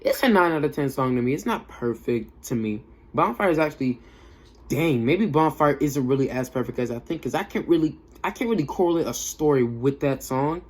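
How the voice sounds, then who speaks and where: A man's voice: mid-range voice, expressive, brisk. A young man giving a casual, off-the-cuff music review in a slangy, emphatic way, often repeating himself for effect. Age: young adult.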